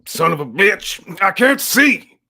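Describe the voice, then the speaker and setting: A man playing a hulking, crude, taunting mutant brawler in a radio theatre read, brash and jeering with a rough, gravelly voice. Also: high-pitched, big pitch swings, takes it slowly, sharp tone, exaggerated voice. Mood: alarmed, angry.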